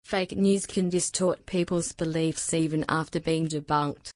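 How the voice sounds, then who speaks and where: Woman reading a practice passage carefully, pretty monotone, very dry recording. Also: deep voice, measured pace, dry recording. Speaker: narrator of read-aloud practice passages. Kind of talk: scripted educational narration.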